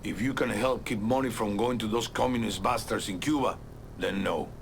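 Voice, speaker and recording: An actor playing a bitter, hard-bitten Cuban exile informant in a video game, gravelly and scornful, with a Cuban accent. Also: male voice, on the low side, normal speed, a little hiss or hum. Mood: bitter, wary. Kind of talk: video game cutscene acting.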